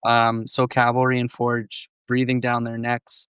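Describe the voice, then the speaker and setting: A man's voice: medium pitch, monotone, fairly bright tone, smooth, dry recording. A man, co-hosting a casual sports podcast and breaking down match plays, still getting over a cold. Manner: measured, thoughtful match analysis. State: recovering from a cold, voice on the mend. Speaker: podcast co-host.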